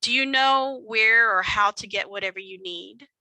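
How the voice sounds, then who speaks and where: A woman with a Texan accent presenting a workshop in an easy, chatty way, with lots of fillers and self-deprecating asides. Her voice is very bright and forward, recorded close and dry with no room sound. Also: medium pitch, moderate pace, no room sound, low-quality recording. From Texas. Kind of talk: conversational lecture with slides.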